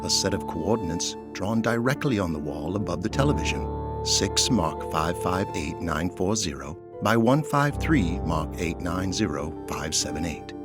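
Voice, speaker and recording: A man's voice: sits low, animated, moderate pace, over loud music. A man narrating a scripted audio drama, reading out scene descriptions.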